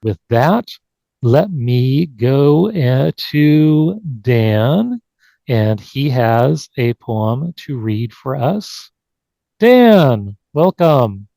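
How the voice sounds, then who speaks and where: A man's voice: medium pitch, sing-song, very slow, vocal fry, mellow, no room sound. Man hosting an online panel, dry sound. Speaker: podcast host. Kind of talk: live online panel conversation. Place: live video call. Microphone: video call.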